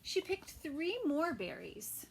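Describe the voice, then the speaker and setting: A woman teacher reading a picture book aloud to small children, gentle and unhurried, with soft whispered asides. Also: high-pitched, sing-song, creaky, low-quality recording. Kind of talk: children's story time read-aloud.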